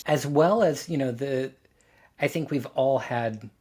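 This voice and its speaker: A man's voice: mid-range voice, measured pace, darkish tone, breathy edge. A man on a podcast panel talking casually and reflectively, thoughtfully working his way through phrases.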